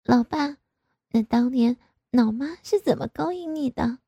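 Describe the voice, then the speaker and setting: Pouty coy young wife, voice pitched up high, wheedling, woman acting it. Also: measured pace, mellow, husky, no echo, exaggerated voice. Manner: pouting, wheedling.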